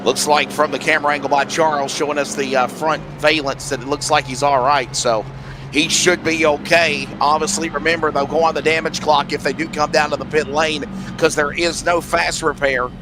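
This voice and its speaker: A man doing play-by-play for an online race broadcast, his voice hoarse and raspy, rattling off the running order. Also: fairly high pitch, fairly even intonation. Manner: rapid rundown of race order. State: hoarse from allergies. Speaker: play-by-play commentator. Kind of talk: sim racing broadcast commentary. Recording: noisy track sound behind.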